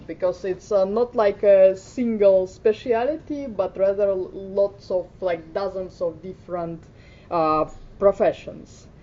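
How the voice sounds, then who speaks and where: Hesitant Finnish-born woman giving a conference talk. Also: darkish tone. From Finland. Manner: hesitant, many fillers, plain delivery. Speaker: conference speaker. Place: conference room. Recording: muffled conference audio with faint rumble.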